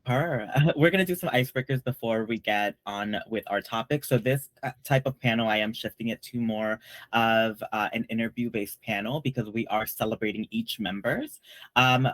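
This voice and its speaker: A man's voice: mid-range voice, moderate pace, fairly bright tone, dry recording. A man hosting a panel in a casual, conversational style. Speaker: panel host, drag queen.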